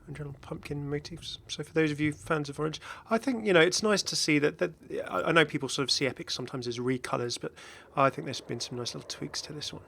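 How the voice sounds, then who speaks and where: Man, higher-pitched bright voice, casual gaming host, chatty, hesitant asides. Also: quick pace, gravelly, few pauses, a little hiss or hum. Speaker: video host, game content creator.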